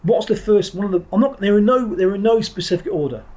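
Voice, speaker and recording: A man's voice: high voice, fast, a little mellow. A middle-aged man from England, a former Marine trainer telling his story as a podcast guest in a blunt, digressive way, often restarting his sentences. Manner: blunt and digressive, with frequent restarts and the odd swear word. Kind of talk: reflective interview talk.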